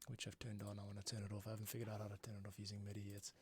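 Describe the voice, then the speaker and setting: A man composing on a livestream, muttering casual, halting commentary to himself as he works. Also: fairly low pitch, completely flat delivery. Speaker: music composer livestreaming his work. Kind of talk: casual thinking-aloud work commentary. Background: instrumental music playback.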